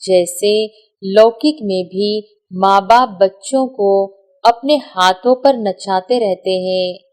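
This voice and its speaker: A woman reads a spiritual discourse from a script. Her delivery is very slow and deliberate, broken into short phrases with pauses, at a medium pitch for a woman. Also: a little mellow. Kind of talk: scripted devotional reading.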